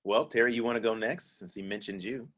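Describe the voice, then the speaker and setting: A male pastor with a lowish voice leads a panel talk over a video call. He speaks earnestly but haltingly, leaning on fillers as he gathers his thoughts. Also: fairly bright tone. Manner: hesitant, many fillers, earnest. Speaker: pastor and moderator.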